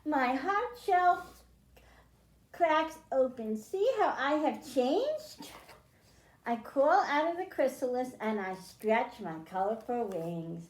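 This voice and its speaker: Female storytime host, higher-pitched, teaching small children in simple terms; echoing room. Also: very animated, slow pace. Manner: simple explanations addressed to children, with questions to them. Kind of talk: children's storytime read-aloud and science lesson. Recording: roomy, echoing indoor sound.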